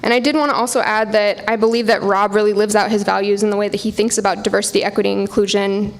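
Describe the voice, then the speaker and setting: An American woman speaks at a public hearing in a fairly flat, even tone. Her pitch sits in the middle for a woman, and she delivers prepared testimony earnestly, with small stumbles as she goes. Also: fast. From Portland, Oregon, United States. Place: public hearing in a university hall.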